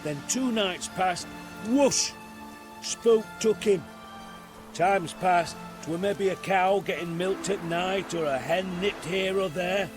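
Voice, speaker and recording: An actor playing a humble, superstitious peasant in a video game, with a rural British accent and folksy, plaintive manner. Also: male voice, high-pitched, takes it slowly, music in the background. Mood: pleading. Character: humble, superstitious peasant man who keeps horses. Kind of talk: video game cutscene acting.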